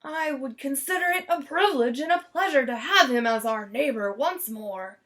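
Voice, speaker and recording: A woman voicing a pompous, grateful storybook gentleman making a formal, declamatory speech. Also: high-pitched, lively intonation, moderate pace. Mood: proud, grateful.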